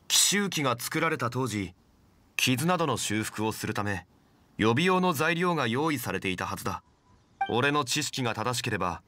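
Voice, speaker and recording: Composed, refined gentleman, bright voice. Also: mid-range voice, a little hiss or hum. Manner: composed, formal, measured. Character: calm, refined, erudite gentleman adventurer. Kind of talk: Japanese game voice acting.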